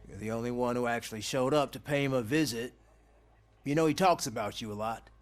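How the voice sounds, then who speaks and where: A man playing a guard in a video game, talking informally and in a gruffly friendly way. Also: medium pitch, brisk, lightly acted. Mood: casual. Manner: informal, conversational. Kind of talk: video game cutscene acting.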